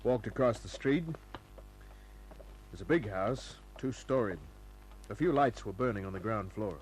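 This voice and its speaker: A man playing a low-voiced hardboiled private detective. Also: lively intonation, moderate pace, a little hiss or hum, lightly acted, poor audio. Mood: wry, narrating. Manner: terse, wry, clipped. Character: cool, wary hardboiled male private detective. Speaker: actor playing detective and narrator. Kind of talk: old-time radio crime drama, first-person noir narration. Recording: old radio recording with faint music.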